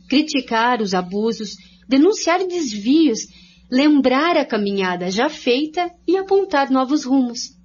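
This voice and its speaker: A woman's voice: a little high, husky. A woman reads a scripted religious narration as a series of points. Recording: dull lo-fi audio with faint hum.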